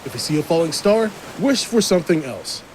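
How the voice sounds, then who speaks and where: A man's voice: a little high, big pitch swings, a little fast, a little mellow, rough recording. A man acting in an audio drama as a matter-of-fact seafarer with a dry sense of irony. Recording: light wave noise behind.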